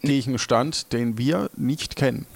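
A man's voice: medium pitch, measured pace, creaky. A man casually leading an improvised adventure role-play as its game master.

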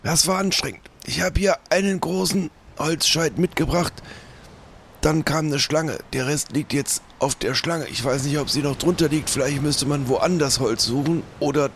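A man's voice: fairly high pitch, normal speed, creaky. A man playing a stubborn, grumbling old pirate in an improvised audio play. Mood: matter-of-fact. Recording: noticeable background noise.

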